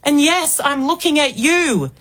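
Australian woman, 40s, talk radio host; emphatic rhetorical delivery, fairly high voice. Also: expressive, measured pace, fairly bright tone, low-quality recording. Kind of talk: political talk radio monologue.

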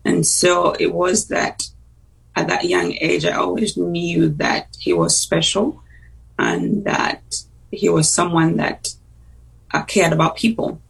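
A woman, a talk-show guest recounting her life in a thoughtful, unhurried way with frequent pauses. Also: lowish voice, lively intonation, gravelly, breathy edge, far from the mic, poor audio. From Uganda. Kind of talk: personal testimony in a talk-show interview.

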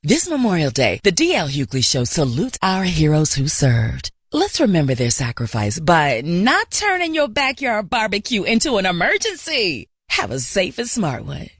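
A woman reading a short public service announcement in a measured way. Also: on the low side, huge pitch swings, breathy edge, no room sound, studio quality. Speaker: promo announcer.

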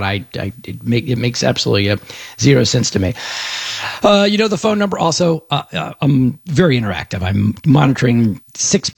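A middle-aged man from Texas hosting a radio show, talking fast and animated in a rambling, self-promoting monologue. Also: on the high side, gravelly, husky, no room sound, studio quality.

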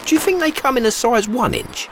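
An actor playing an upbeat riding buddy in a radio commercial. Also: male voice, extremely high pitch, very animated, fairly quick, a bit bright, a little hiss or hum, full character voice. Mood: admiring, playful. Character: upbeat male riding buddy offering roadside reassurance.

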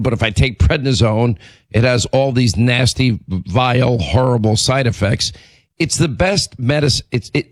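A middle-aged man hosting a talk radio show with a hoarse, raspy voice from laryngitis, clearing his throat and talking emphatically. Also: medium pitch, no echo. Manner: emphatic, repetitive, combative. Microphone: studio microphone.

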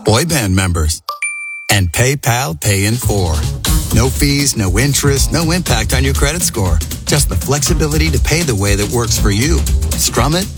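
A man reading a radio ad in a relaxed, playful way. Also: mid-range voice, moderate pace. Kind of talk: radio ad voice-over. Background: music.

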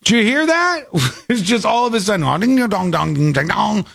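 Animated sarcastic male streamer. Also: high-pitched, normal speed, vocal fry, no echo, studio quality. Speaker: streamer host reacting to clips. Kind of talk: casual live-stream commentary.

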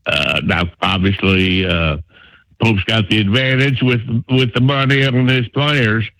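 Caller, low and gravelly, rambles along, dry sound. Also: male voice, fairly even intonation, a little slow, fairly bright tone, dry recording. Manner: folksy, rambling with fillers. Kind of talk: call-in comment on sports radio.

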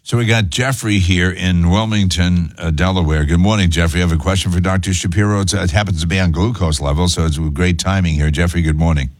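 A man's voice: low voice, fairly quick, hardly any pauses, no room sound. A man co-hosting a radio talk show, introducing callers and adding brief affirmations.

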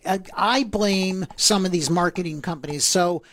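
A man's voice: high voice, normal speed, fairly bright tone, no echo, studio quality. A man co-hosting a financial radio show, explaining tax planning in a chatty way with fillers and asides. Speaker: radio co-host, financial advisor. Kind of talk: casual radio finance talk.

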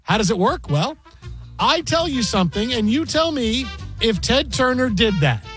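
A man hosting a sports talk radio show and running a quiz game, brisk and joking. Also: extremely high pitch, sing-song, a bit bright, soft background music.

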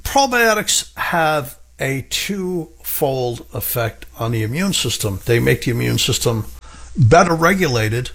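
A middle-aged man hosts a health radio show in a husky, slightly raspy voice. He speaks eagerly and piles up repeated phrases to drive his points home. His pitch sits in an ordinary middle range. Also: big pitch swings, unhurried, a bit bright. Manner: enthusiastic, emphatic, repeats for stress. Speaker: radio host and pharmacist. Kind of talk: radio health talk monologue.

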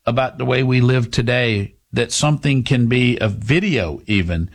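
A man's voice: medium pitch, moderate pace. A man in his fifties hosting a call-in radio talk show, musing at length and reassuring his callers. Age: 50s.

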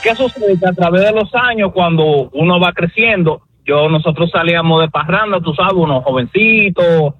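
A man's voice: high-pitched, normal speed, hardly any pauses, a bit bright, slightly rough, no room sound, highs cut off, soft background music. A man from the Dominican Republic with a Dominican accent, telling a long, chummy story to the host. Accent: Dominican Spanish. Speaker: caller or guest.

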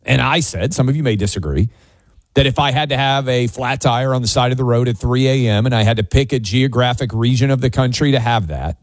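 An American man hosting a call-in radio show, giving opinionated commentary in a conversational, assertive, deliberate way. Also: medium pitch, dry recording. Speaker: talk radio host.

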